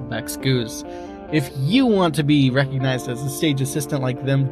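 A man hosting a podcast, reading a scripted outro of credits and thanks. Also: mid-range voice. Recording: plucked guitar music clearly behind.

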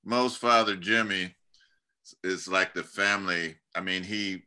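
A man's voice: deep voice, a little slow, pushed and bright. A middle-aged man from California hosting a community video call, chatting casually with many restarts and fillers, speaking from a home study over a webcam. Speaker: host of church community video-call talk. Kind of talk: casual interview chat. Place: home study lined with bookshelves.

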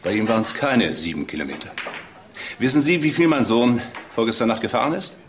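A man's voice: medium pitch, animated, fairly quick, a little hiss or hum, close to an everyday voice. An actor playing an embittered middle-aged father, insistent and exact, stressing his points in a crime radio drama. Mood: insistent, bitter. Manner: emphatic, exact, repetitive.

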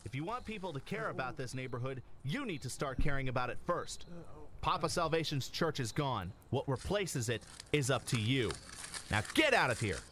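A man's voice: mid-range voice, sing-song, normal speed, a bit bright. A man playing a stern, preachy investigator in a sci-fi audio drama, speaking in a formal, commanding way. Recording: music behind the dialogue.

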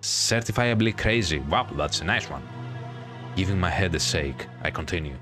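A man hosting a gaming video, reading a visual novel aloud in a dramatic, drawn-out storytelling way with casual commentary. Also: low-pitched, animated, fairly bright tone, soft background music.

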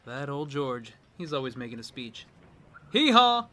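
An actor playing a breezy, wisecracking well-off pal in a radio drama, jaunty and slangy. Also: male voice, medium pitch, very animated, unhurried, sharp tone, a little hiss or hum. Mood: teasing.

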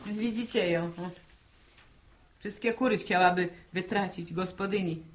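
An actress playing a sharp-tongued middle-aged village mother who nags in folksy rural phrases, in 1960s Polish radio drama style. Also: mid-range voice, unhurried, lightly acted. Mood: bossy. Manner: nagging, exasperated, folksy rural turns of phrase.

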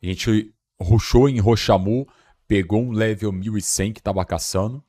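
Young Brazilian male streamer, lowish voice, casual recap, very dry close recording. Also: moderate pace, warm tone, dry recording. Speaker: gaming streamer.

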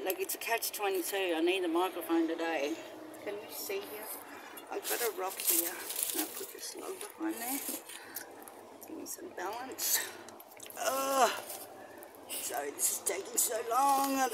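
A middle-aged woman chatting casually to a phone camera outdoors in a rambling, gently enthusiastic way, with exclamations. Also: mid-range voice. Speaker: vlogger, spiritual practitioner. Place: outdoors in bush and a shallow rock cave. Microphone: phone on selfie stick with attached microphone. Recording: noisy outdoor phone recording, traffic ambience.

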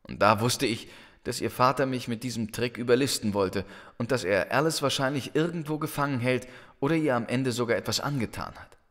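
Guy playing young man in love in an audio drama, mid pitched voice, earnest and urgent, telling his story. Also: a bit bright, lightly acted. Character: desperate, devoted young male lover telling his ordeal. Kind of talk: German audio drama acting.

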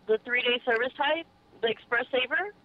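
A woman's voice: fairly high pitch, moderate pace. Woman customer service agent on a phone line. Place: on the other end of a phone call. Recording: muffled narrowband phone line.

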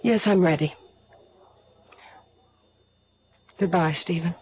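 A woman's voice: fairly low pitch, fairly quick, soft background music, close to an everyday voice. A woman playing a guilty, lovestruck smuggler in a 1970s radio drama. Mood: pleading, resigned. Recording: dull, noisy vintage radio broadcast.

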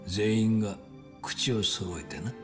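An actor playing a composed middle-aged yakuza boss in a dramatic game scene, measured, grave and authoritative. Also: male voice, fairly low pitch. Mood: stern, grave. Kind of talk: dramatic video game acting in Japanese. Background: music.

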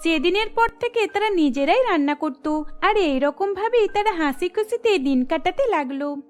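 Female cartoon narrator, very high voice, scripted storytelling, light background music. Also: lively intonation, normal speed, darkish tone.

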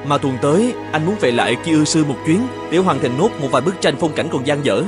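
Casting: man to play evasive, courteous artist husband for animated folktale, fairly high male voice, hesitant delivery. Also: brisk, over music, natural voice. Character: married painter, earnest, evasive adult Japanese man.

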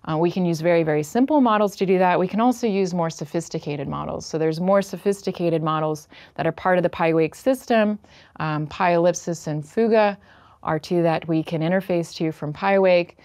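Woman, middle-aged, low voice, dry close lapel sound, technical lecture, measured, filler-heavy. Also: a little mellow, no room sound. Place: dark studio in front of a projection screen.

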